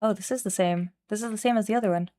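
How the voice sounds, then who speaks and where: A woman's voice: mid-range voice, very fast, dark tone, no room sound. A woman livestreaming a game she made, chatting casually in a scattered, self-interrupting way. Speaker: streamer and game developer playing own game. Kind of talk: casual livestream chat with read-aloud game text.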